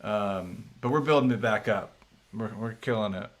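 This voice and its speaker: A man's voice: lowish voice, normal speed. A man in his thirties, co-hosting a casual chat and reading viewer comments aloud in a laid-back, chatty way. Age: 30s. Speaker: co-host.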